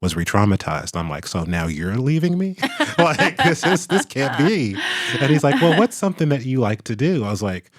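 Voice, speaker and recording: A man's voice: mid-range voice, big pitch swings, moderate pace, hardly any pauses, no room sound. A middle-aged man, an author and attorney, talking candidly in an interview, reflective, with frequent fillers, restarts and laughs. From Kentucky, US. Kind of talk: casual interview conversation.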